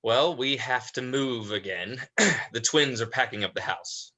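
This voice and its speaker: An actor playing a protective, tender older brother in a vampire family, in a dramatized play reading with halting, repeated words. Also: male voice, mid-range voice, expressive, sharp tone, rough recording. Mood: weary, matter-of-fact. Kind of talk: dramatized livestream script reading.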